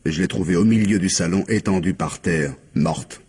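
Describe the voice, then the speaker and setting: An actor playing a cornered, defeated murder suspect in a French radio crime play, weary, halting and defensive as he protests his innocence. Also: low voice, darkish tone, slightly rough. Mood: weary confession.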